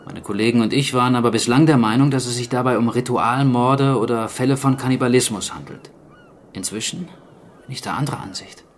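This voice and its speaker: A man playing a sober archaeologist in a radio drama, speaking in a measured, explanatory way. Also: mid-range voice, a little hiss or hum, natural voice. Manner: measured, matter-of-fact, explanatory. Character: sober male archaeologist explaining a zombie plague. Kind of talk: naturalistic German radio drama acting.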